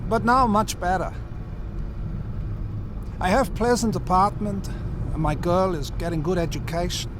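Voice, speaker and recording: An actor playing a chatty German cab driver, a former prisoner of war, speaking plain broken English with a German accent in a friendly way. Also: male voice, high voice, fairly even intonation, a little slow, long pauses. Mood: friendly, matter-of-fact. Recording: noisy car and street background. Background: car and outdoor traffic noise.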